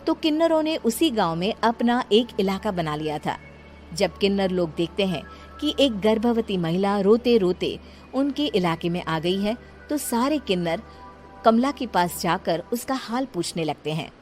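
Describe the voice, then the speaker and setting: A woman narrating a scripted village story in a steady, dramatic way. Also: mid-range voice, unhurried, soft background music.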